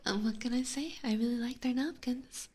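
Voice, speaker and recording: A woman with a Californian accent doing playful ASMR chat in a breathy whisper, close to a binaural microphone. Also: a little high, moderate pace, background noise. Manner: playful, teasing, giggly. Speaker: ASMR streamer playing a vampire catgirl. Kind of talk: ASMR, casual chat with live viewers. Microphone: close binaural ear microphone.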